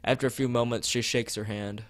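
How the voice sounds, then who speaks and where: A man's voice: mid-range voice, steady tone, a little fast, no echo. A man streaming as he reads a visual novel aloud and chats with viewers, often stumbling and rereading phrases. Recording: background music behind voice.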